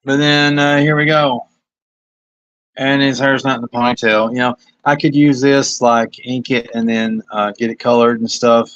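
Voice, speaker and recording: A man's voice: medium pitch, fairly even intonation, moderate pace, fairly bright tone, clear, resonant tone, no room sound, muffled recording. A man with a Kentucky accent, a comic artist talking casually and self-critically about his pages on a livestream. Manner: rambling, self-critical, hesitant. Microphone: phone.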